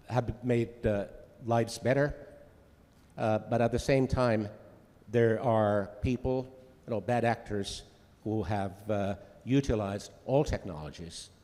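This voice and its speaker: A man's voice: fairly low pitch, mellow. Hesitant man speaking in a reverberant hall. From Finland. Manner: hesitant, many filler sounds and restarts. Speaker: diplomat giving welcome remarks. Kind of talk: conference welcome speech. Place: conference hall.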